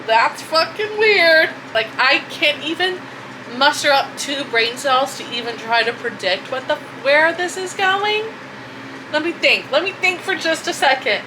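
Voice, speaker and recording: A woman book vlogger chatting casually in an expressive, informal way with plenty of swearing. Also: sits high, a little slow, noisy background. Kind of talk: casual reaction chat to camera.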